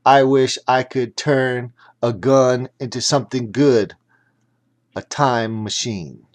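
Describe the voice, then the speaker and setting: A man voicing an earnest young kung fu cowboy hero, calling out in a loud, declaiming way. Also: mid-range voice, fairly even intonation, slow, close to an everyday voice. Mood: impassioned.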